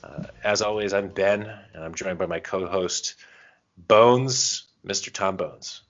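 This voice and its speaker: A man's voice: low-pitched, unhurried, highs cut off, faint music behind. A man co-hosting a podcast in casual chat, bantering in a jokey, self-deprecating way. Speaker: podcast co-host.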